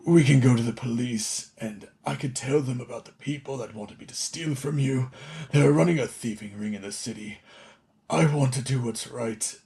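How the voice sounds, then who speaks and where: A man playing a washed-up older children's TV entertainer in a radio play, contrite and theatrical, with a creaky voice. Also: medium pitch, dark tone, close to an everyday voice. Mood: weary, confessional. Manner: dramatic, halting pleading and grand showman delivery.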